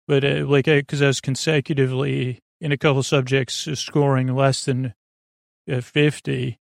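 A middle-aged male podcast host talks listeners toward sleep in a creaky, fry-laden voice at a medium pitch. He meanders and hesitates, often starting a phrase over or drifting off mid-thought. The sound is very dry, with no room echo. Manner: meandering, hesitant, trailing off and circling back. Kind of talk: rambling bedtime monologue to help listeners sleep.

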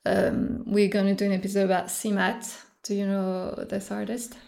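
A woman's voice: mid-range voice, flat delivery, moderate pace, vocal fry, dark tone. A woman from France with a French accent, a French native speaker, chatting casually as a podcast guest and searching for her words. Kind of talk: casual chat.

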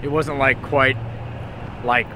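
A young man from Texas talks loosely in an outdoor interview, his sentences wandering and restarting with a steady stream of fillers. His voice sits at a middle pitch, and faint car and street noise runs behind him. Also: slow pace. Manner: rambling, hesitant, heavy use of "like". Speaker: music artist interviewed. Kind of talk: casual interview talk.

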